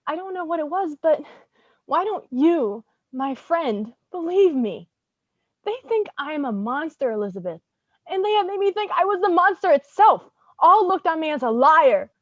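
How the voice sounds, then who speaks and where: A woman's voice: high voice, sing-song, moderate pace, no echo, dull audio. A woman playing a wrongly condemned young woman in a staged gothic drama, wounded, defiant and pleading. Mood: anguished, defiant.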